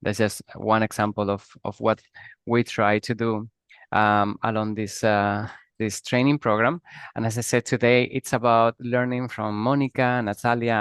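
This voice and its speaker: Male host, smooth, clear tone, halting delivery, dry video-call sound. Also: medium pitch, fairly bright tone, dry recording. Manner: hesitant, lots of uh and um. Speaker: host and facilitator. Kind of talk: moderating an online panel.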